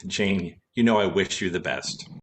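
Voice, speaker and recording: A man's voice: sits low, normal speed, natural voice. A man playing a cheerful, wisecracking former private detective in a radio play, dry and flippant. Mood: wry, flippant.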